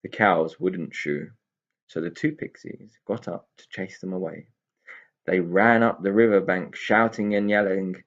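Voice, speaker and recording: A man with a gravelly, husky voice reading a children's story expressively as a storyteller. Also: low voice, fairly even intonation, measured pace, highs cut off.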